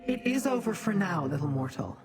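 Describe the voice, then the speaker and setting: Aloof demon schemer for a game, haughty and formal, pitch moves a lot, music behind. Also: female voice, sing-song, brisk. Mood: aloof, measured. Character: proud, aloof demon schemer, secretive and self-serving. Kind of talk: video game character acting.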